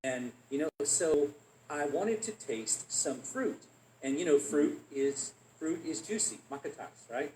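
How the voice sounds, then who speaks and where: A man's voice: fairly high pitch, measured pace. Man telling stories in an echoey room. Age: adult. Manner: relaxed anecdotal storytelling. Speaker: guest speaker. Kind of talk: conversational Q&A. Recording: echoey room, faint noise.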